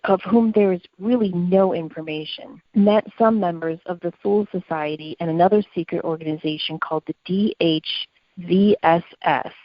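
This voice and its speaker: A woman's voice: fairly low pitch, dry recording, muffled recording. A woman co-hosting a podcast, narrating from prepared notes in an explanatory, storytelling way. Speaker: podcast co-host.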